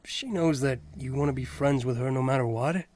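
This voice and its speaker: A man plays a blunt young friend who teases but stays loyal. His voice is medium in pitch, with a dry and casual delivery suited to a fan-made audio drama. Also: a little fast, a little mellow, lightly acted. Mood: frank reassurance. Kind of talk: amateur audio drama, anime fan parody.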